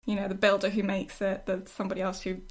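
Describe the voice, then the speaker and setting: A woman talking casually and reflectively as a writer discussing her work, often halting as she thinks aloud. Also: mid-range voice, monotone. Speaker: writer discussing her own storytelling project.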